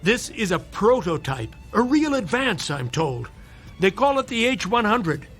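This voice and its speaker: An actor playing a brisk, wry, persuasive hospital doctor in a radio drama, dry and insistent. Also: male voice, high-pitched, big pitch swings, fairly bright tone, soft background music, exaggerated voice.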